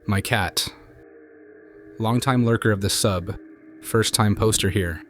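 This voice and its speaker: A man calmly narrating true scary stories in a measured, hushed scripted style. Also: lowish voice, slight background noise. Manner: calm, measured, hushed storytelling. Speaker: narrator reading viewer stories. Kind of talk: scripted horror story narration.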